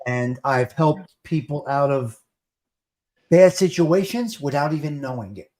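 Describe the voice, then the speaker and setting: A man's voice: a little high, lively intonation, a little slow, warm tone. Plain-spoken New Jersey man hosting. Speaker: livestream host. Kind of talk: casual chat.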